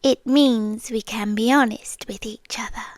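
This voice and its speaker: A British woman voicing a sweetly menacing, possessive sea-creature girlfriend in a soft, breathy, intimate ASMR roleplay. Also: a little high, fairly even intonation, no echo, close to an everyday voice. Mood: veiled menace. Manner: soft, breathy half-whisper, drawn-out pauses. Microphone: close ASMR microphone.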